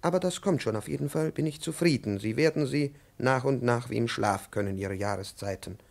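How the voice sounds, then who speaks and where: An actor playing a timid, over-polite elderly professor in a 1950s radio play, halting and profusely courteous. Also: male voice, mid-range voice, gravelly, darkish tone, lightly acted. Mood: effusive, encouraging. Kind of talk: 1950s German-language radio play acting. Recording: 1950s radio drama recording.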